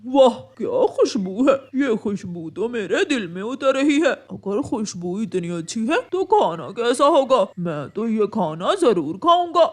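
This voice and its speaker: A woman voicing Kalu, a greedy, excitable male crow in a cartoon, calling out loudly and eagerly. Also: a little high, unhurried, few pauses. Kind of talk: Hindi cartoon voice acting.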